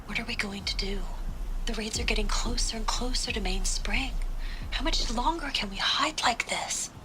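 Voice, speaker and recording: A woman voicing a tender, fearful young mother in a video game audio log, hushed and breathy. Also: a little high, normal speed, lightly acted. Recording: noisy distant audio-log playback.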